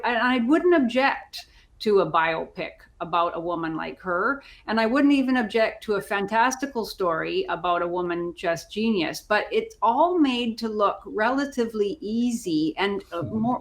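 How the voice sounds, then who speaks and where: A woman's voice: medium pitch, expressive, moderate pace. A woman on a discussion panel giving her opinions conversationally, often repeating words as she thinks aloud.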